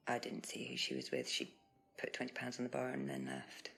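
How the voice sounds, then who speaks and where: A woman's voice: extremely low pitch, sing-song, fairly quick, creaky, a bit bright, full character voice. An actress playing a guarded, curt pub landlady in a filmed mystery game. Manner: guarded, evasive.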